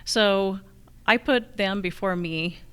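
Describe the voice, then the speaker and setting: A woman answering as a candidate at a public forum, in halting, loosely structured sentences. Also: mid-range voice, fairly bright tone, smooth. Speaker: challenger candidate for county assessor. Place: live public forum on a college campus.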